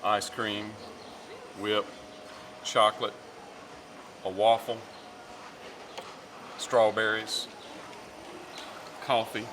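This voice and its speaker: A man's voice: fairly low pitch, flat delivery, drawn-out words, long pauses, fairly bright tone. Chatty man vlogging on a noisy street. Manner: chatty, addresses viewers as friends. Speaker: travel vlogger. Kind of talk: casual walking-tour vlog. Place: outdoors in a city square. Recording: noisy outdoor street recording.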